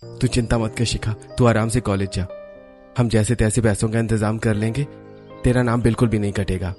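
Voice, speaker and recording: A man's voice: fairly low pitch, fairly even intonation, brisk, a little mellow, soft background music, natural voice. A man voicing a caring, dutiful young son and husband in a cartoon story, earnest and reassuring. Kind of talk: Hindi cartoon story voice acting.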